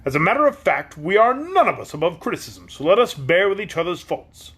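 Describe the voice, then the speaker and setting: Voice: mid-range voice, very animated, fairly quick, fairly bright tone, slightly rough, voice pushed up. Kindly tin man, polite and earnest, as a reader puts him on. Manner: earnest, courteous. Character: gentle tin man, kind-hearted peacemaker.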